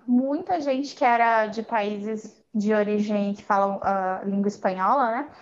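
A woman's voice: medium pitch, a little slow. A Brazilian woman with a Brazilian Portuguese accent, a guest on an interview podcast, telling her story in a chatty way with frequent fillers. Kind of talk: casual interview chat. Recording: muffled lo-fi audio.

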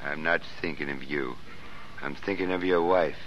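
Deep, stern older American man. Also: normal speed. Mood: grave, reproachful. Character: stern, upright older Bible-reading watchman, secretly soft-hearted. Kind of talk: 1930s-40s American radio drama acting. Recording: muffled, noisy vintage radio recording.

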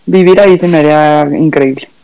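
A woman's voice: low voice, fairly quick, dark tone, low-quality recording. A woman with a Mexican accent hosting a podcast in informal, slangy conversation. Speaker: podcast host. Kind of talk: casual chat.

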